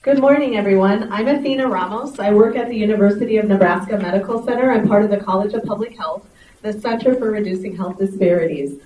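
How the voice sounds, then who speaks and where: A woman's voice: medium pitch, fast talker, dark tone. A woman researcher speaking fluently and with engagement on a conference panel. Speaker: public health researcher, panelist. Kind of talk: panel discussion. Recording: roomy hall from a distant mic.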